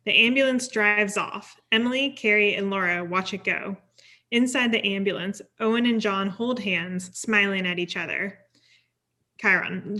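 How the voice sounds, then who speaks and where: A woman's voice: mid-range voice, sharp tone. A woman reading stage directions as scripted narration at a table read.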